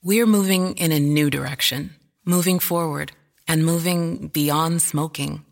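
An announcer giving a measured, polished corporate advertising read. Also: medium pitch, fairly even intonation, gravelly.

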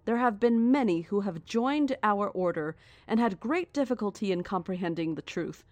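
A woman playing a serene but menacing female cult leader in a horror audio drama, speaking in a deliberate, formal, condescending and grandiose way. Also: mid-range voice, animated, darkish tone, dry recording, natural voice. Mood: solemn warning. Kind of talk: horror audio drama acting, live radio address.

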